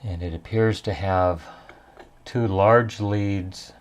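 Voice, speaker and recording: Low-voiced man narrating a hands-on repair. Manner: halting, muttering to himself while working. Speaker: electronics repairman presenting his repair.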